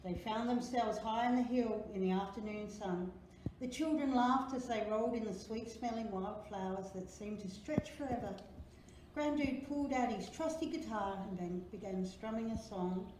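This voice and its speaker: A woman reading a children's picture book aloud in an expressive, dramatic storytelling manner, drawing out key words. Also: fairly high pitch, normal speed. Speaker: library storytime reader. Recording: very echoey room, faint noise.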